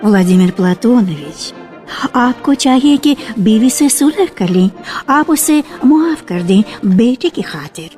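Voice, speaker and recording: A woman's voice: on the high side, animated, unhurried, dark tone, soft background music. A woman narrator voicing a devoted, earnest young wife in a storybook radio reading, on an archival recording. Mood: gentle pleading.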